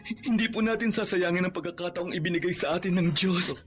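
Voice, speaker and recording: A man's voice: high voice, brisk, soft background music, close to an everyday voice. A man acting a successful young celebrity and caring son in a radio drama, earnest and emotive. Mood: forgiving.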